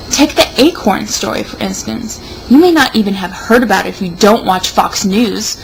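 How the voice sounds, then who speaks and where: A tipsy woman reading an opinion article aloud in a mock-serious, emphatic, drawn-out way, with wry asides. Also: medium pitch, gravelly, a little hiss or hum, rough recording. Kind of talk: theatrical read-aloud with mocking asides.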